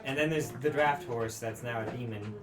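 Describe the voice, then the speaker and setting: A man's voice: mid-range voice, moderate pace. A man playing a tabletop game, chatting in an easygoing, rambling way. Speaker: player. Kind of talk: casual tabletop chat.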